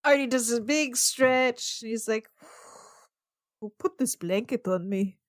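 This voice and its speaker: A woman's voice: fairly high pitch, slow pace, no echo, studio quality. A woman playing a tabletop roleplaying game, telling her character's part as casual comic storytelling. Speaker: player in a tabletop roleplaying podcast.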